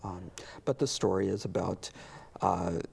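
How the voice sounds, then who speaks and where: A man talking casually as an interview guest, in a halting, conversational way. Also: low voice, gravelly, a little mellow, husky. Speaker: interview guest, community theater director.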